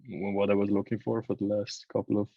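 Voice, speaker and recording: Young swiss guy being interviewed, kinda low voice, chatting casually, close dry sound but a bit muffled. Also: little pitch movement, fast talker, no echo. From Switzerland. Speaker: interview guest, videographer and agency owner. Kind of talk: casual chat, telling own story.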